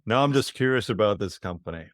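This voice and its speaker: A man co-hosting a podcast, asking questions in a curious, steady way. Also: on the low side, quick pace, dry recording, clean studio sound. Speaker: podcast co-host. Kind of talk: casual podcast interviewing.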